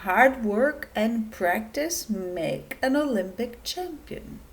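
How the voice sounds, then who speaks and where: A woman's voice: on the high side, expressive, slow. A woman voicing a cheerful, confident young male pig athlete in a children's storybook, upbeat and emphatic. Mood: unworried. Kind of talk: children's storybook read-aloud.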